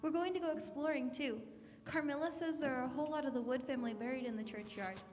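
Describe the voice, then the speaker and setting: In a reverberant hall, a woman plays a sheltered, wide-eyed girl in a radio play. Her voice is high and gentle, hesitant and full of wonder. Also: fast, close to an everyday voice. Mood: girlish, eager. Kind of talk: radio drama acting. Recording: echoey hall, music behind.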